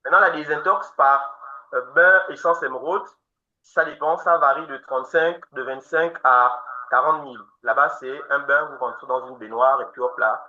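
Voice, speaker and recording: A man hosting a webinar, answering listeners' questions in a steady explaining manner and counting off his points in order. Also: mid-range voice, moderate pace. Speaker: webinar host answering questions on natural detox treatments. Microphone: online video call. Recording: dull lo-fi call audio.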